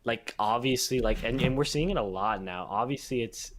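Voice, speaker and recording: A man from the United States talking as a podcast guest in a halting, nervous way, often restarting his sentences. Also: medium pitch, fairly bright tone. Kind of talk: casual podcast conversation.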